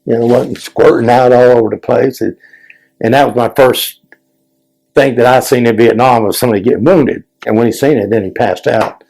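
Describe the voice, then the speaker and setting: Gravelly older man recalling war stories. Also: medium pitch, steady tone, moderate pace, a little mellow, low-quality recording. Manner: rambling, repeats words, plain folksy speech. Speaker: Vietnam veteran interviewee. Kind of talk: casual spoken recollection.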